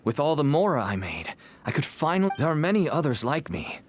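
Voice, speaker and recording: A man's voice: fairly high pitch, sing-song, fairly quick. An actor playing a weary middle-aged merchant and father, earnest and pleading, in scripted game dialogue. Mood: regretful confiding. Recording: faint music behind the voice.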